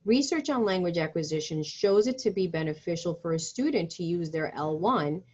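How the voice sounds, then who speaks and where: A woman presents a teacher-training webinar and reads through her slides in a steady, instructive manner. Her voice sits somewhat low. The audio is a little muffled and lo-fi. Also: normal speed.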